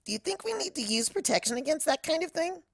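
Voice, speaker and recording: Casting: woman playing a cocky tomboy cartoon pony, gravelly creak, wildly swooping pitch. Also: sing-song, fast talker, a bit bright, no room sound, clean studio sound, full character voice. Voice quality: scratchy. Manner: cocky, teasing. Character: brash, cocky young female pegasus pony.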